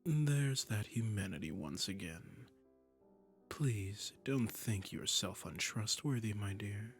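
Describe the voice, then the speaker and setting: A man's voice: fairly low pitch, lively intonation, slow pace, husky, faint music behind, full character voice. A man playing a seductive, commanding demon prince in a romantic roleplay audio drama, speaking in a silky, imperious way and often dropping into a murmur. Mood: smug, coaxing. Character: seductive, commanding male demon prince claiming a captive singer.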